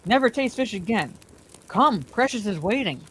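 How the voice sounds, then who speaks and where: A woman voicing a gentle but urgent young male hobbit, pleading and reassuring. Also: fairly high pitch, expressive, moderate pace, slight background noise, voice pushed up, lightly acted, rough recording. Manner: pleading, soft then urgent.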